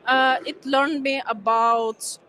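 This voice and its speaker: A young woman with a high voice speaks in a relaxed, hesitant way, often pausing on fillers. Her first language is Ukrainian, and she talks as an interview guest at a busy conference. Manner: hesitant, many uh fillers, laughs lightly.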